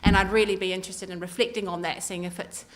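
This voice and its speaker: A woman's voice: fairly low pitch, fairly even intonation, quick pace. A middle-aged woman with a New Zealand accent giving an earnest election speech at a community meeting, with many ums and uhs. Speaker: council election candidate. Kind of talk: campaign speech. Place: community hall meeting room.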